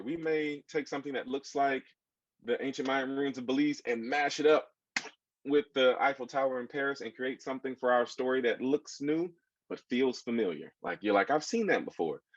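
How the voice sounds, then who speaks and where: An American man, a children's book author giving an informal talk with slides in a conversational way, often putting questions to his listeners. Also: mid-range voice. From United States. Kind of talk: informal audience presentation with slides. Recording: dull, echoey room sound.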